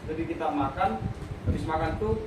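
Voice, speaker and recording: A young man talks fast and a little high, rambling through fillers and restarts. He sits well back from the mic in a boomy, echoing room. Loud background noise runs under his voice the whole time. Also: far from the mic. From Batak, North Sumatra. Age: young adult. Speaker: university student co-host. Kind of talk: casual podcast chat.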